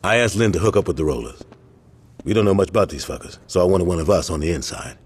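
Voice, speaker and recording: An actor playing a stern, commanding veteran street gang boss with a deep, husky voice in video game cutscenes. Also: male voice, lively intonation. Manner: measured, commanding. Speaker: gang leader.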